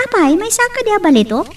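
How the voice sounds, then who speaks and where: A woman with an Ilocano accent playing a modest, gently teasing working daughter in a romantic audio drama. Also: extremely high pitch, far from the mic. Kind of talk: Ilocano radio-style romantic drama acting.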